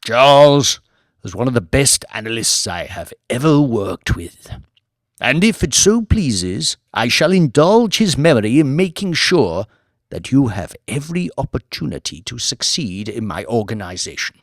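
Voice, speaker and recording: A man voicing an ancient, pompous aristocratic villain who drawls slowly and grandly. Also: medium pitch, sing-song, dry recording, clean studio sound. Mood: solemn.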